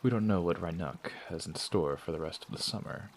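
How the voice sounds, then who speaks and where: A man voicing a sly traveling performer who teases and probes with smooth talk in an audio drama. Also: deep voice, a little fast, a little hiss or hum, lightly acted. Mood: teasing, prying. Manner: teasing, probing, smooth-talking. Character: sly prying male traveling performer, teasing.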